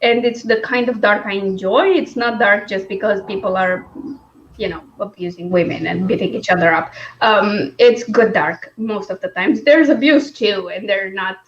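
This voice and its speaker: A woman's voice: medium pitch, normal speed, dull audio. A woman hosting a casual book chat, speaking in a wry, informal way. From Romania. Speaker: book discussion host.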